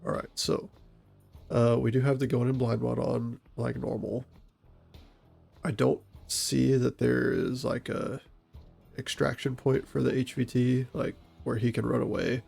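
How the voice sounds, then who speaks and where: Gravelly stuffy man explaining game tactics. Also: medium pitch, measured pace, soft background music. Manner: calm, methodical explaining of tactics. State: stuffy from a cold. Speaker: gaming channel host and SWAT operator. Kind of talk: tactical gameplay commentary.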